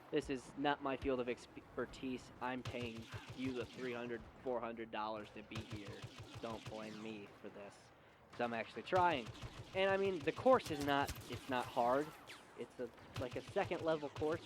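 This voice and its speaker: A young man with a somewhat high-pitched voice talks over a noticeable background hum. He tells a personal story casually, like a rant, leaning hard on his words as he complains in exasperation. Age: young adult. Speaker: video storyteller.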